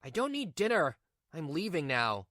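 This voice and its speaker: A woman's voice: deep voice, sing-song, moderate pace, fairly bright tone, no echo, clean studio sound. A woman voicing a selfish, irritable cheating husband with a dismissive manner in a manga dub. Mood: curt. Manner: dismissive, snappy when challenged. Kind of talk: manga dub character acting.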